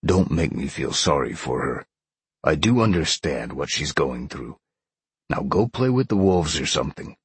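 A man doing a gruff growling lion shapeshifter. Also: rumbling low, very animated, moderate pace, dry recording, exaggerated voice. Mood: grumbling. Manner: growling, sardonic, blunt. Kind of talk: audiobook character acting.

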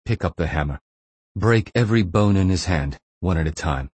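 A man's voice: deep voice, animated, normal speed, a little mellow, husky, dry recording. A man voicing a young Russian interrogator in an audiobook thriller, scornful, frustrated and menacing, taunting with rhetorical flair. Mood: cold command.

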